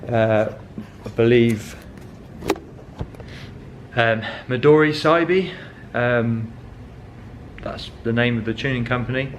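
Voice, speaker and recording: A man's voice: medium pitch, steady tone, slow, long pauses, slight background noise. A young man chatting casually in a car vlog, rambling with many ums and correcting himself as he goes. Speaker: car vlogger.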